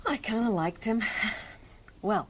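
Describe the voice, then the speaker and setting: Brassy American woman, swooping high voice. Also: animated, a little slow. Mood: defiant, wistful. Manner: sardonic, slangy, emotional. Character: brassy, defiant, devoted wife of a wanted criminal. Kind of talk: radio drama acting.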